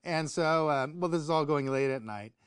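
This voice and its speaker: A man's voice: a little high, moderate pace, clear, resonant tone, no room sound, clean studio sound. An American man co-hosting a political talk show, insistent and often repeating his words as he argues. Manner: insistent, stammering repetition of phrases. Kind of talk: opinionated political discussion.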